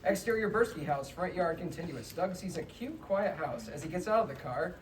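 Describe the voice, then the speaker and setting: A woman's voice: fairly low pitch, animated, moderate pace. A woman reading scripted stage directions as narrator of a comedy table read. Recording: distant mic, noisy room.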